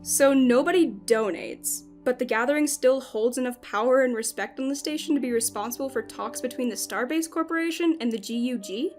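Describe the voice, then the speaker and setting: A woman's voice: high-pitched, fairly quick, hardly any pauses, a little mellow, natural voice. A woman playing a curious, candid, teasing detective in an amateur sci-fi audio play. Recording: music under the voice.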